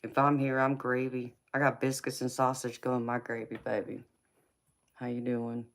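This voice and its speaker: A woman's voice: very deep voice, flat delivery, normal speed. A woman in her forties with a slight Southern American twang, chatting casually with viewers on a livestream and calling them baby and honey. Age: 40s. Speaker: tarot reader answering live chat.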